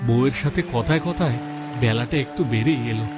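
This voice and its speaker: A man's voice: medium pitch, fairly quick, natural voice. A man playing a humble, hardworking village fisherman and husband in a cartoon, talking in a plain, homely, reassuring way. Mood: musing, worried. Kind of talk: Bengali moral-story cartoon dubbing. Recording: loud music bed behind the voice.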